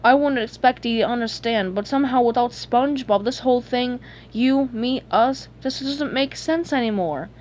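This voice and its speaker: A woman's voice: fairly high pitch, normal speed, few pauses, darkish tone, slight background noise, natural voice. A woman voicing a glum, defeated old male crab boss with a weary, resigned manner. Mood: sorrowful.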